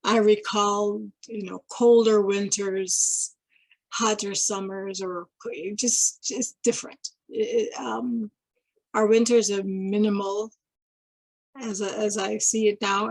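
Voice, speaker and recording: A middle-aged woman from Guyana talks slowly through her thoughts, pausing and restarting often. Her voice is husky with a gravelly edge, and it is recorded close and dry. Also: mid-range voice, darkish tone, no echo, poor audio. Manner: thoughtful, halting, many restarts and fillers. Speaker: interviewee. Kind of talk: casual reflective talk.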